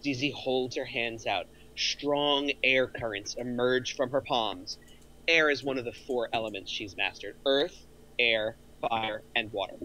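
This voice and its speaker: Male narrator for script action lines, middle-aged, forceful bright tone, audible background noise. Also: mid-range voice, a little slow, poor audio. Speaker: narrator of a script table read.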